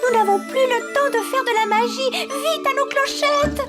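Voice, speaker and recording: An extremely high, tiny voice of a magical cartoon creature. She is bossy and impatient, hurrying others along over background music. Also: normal speed. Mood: impatient, scolding. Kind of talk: French cartoon dub acting.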